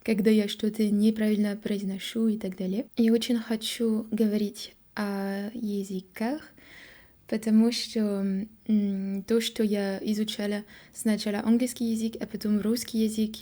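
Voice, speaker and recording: Young french woman, mellow voice, kinda flat, keeps stopping to hunt for words and fix herself, thick french accent. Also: medium pitch. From France. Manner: halting, searching for words, many fillers and self-corrections. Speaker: vlogger. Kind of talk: casual monologue to camera.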